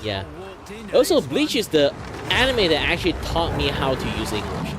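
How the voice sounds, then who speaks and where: A man's voice: a little high, huge pitch swings, moderate pace. A young man from Malaysia with a Malaysian accent, chatting casually as a streamer, rambling with lots of fillers and loose English grammar. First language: Hakka. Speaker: VTuber streamer. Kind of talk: casual chat answering viewer questions. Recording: music playing loudly behind.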